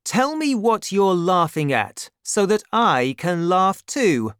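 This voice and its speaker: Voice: mid-range voice, sing-song, slow pace, a bit bright, smooth, dry recording, studio quality, voice pushed up. A storybook narrator voicing a proud, hot-headed young Gascon man of eighteen with an indignant, challenging delivery. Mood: angry, defiant.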